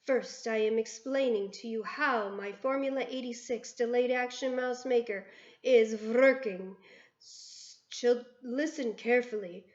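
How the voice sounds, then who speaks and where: A woman voicing a ruthless chief witch who speaks in clipped, broken grammar and gloats loudly. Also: fairly high pitch, slow pace. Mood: gloating. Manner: gloating, triumphant shouting. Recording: roomy, dull lo-fi sound.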